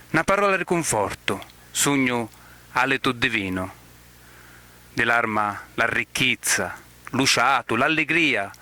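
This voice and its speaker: Bright, forceful Sicilian man reciting poetry. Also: mid-range voice. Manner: declamatory, measured phrases with pauses. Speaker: poet.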